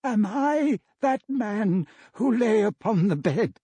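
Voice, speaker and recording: A man's voice: high voice, expressive, slow pace, warm tone, slightly rough, no echo, voice pushed up, heavily acted. A man voicing a frightened old miser who pleads formally and dramatically in a storybook. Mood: fearful pleading.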